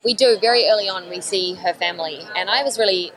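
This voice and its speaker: A woman's voice: medium pitch, fast talker, poor audio. Chatty woman, actor in interview, laughs often, music in background. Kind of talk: press room interview answers.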